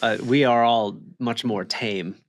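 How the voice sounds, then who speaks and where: A man's voice: medium pitch, steady tone, measured pace. A man chatting casually as a podcast co-host, slangy and enthusiastic. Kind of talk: casual chat.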